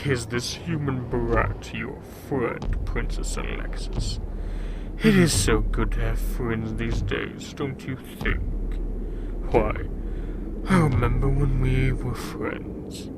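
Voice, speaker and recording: Audio drama casting: man as menacing icy king, unhurried sardonic drawl, clear background noise. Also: medium pitch. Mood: sarcastic. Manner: drawling, theatrical, mocking. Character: smug, menacing, mocking villain Frost King. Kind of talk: audio drama villain acting.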